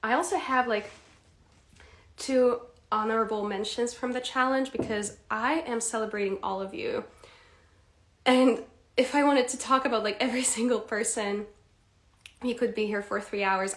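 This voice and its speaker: A young woman coaches English live, chatty and quick to laugh as she speaks to her viewers. Her voice sits a little high. The room gives her an echoey ring. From Poland. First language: Polish. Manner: lively, laughs often, addresses viewers directly. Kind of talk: live-stream teaching chat.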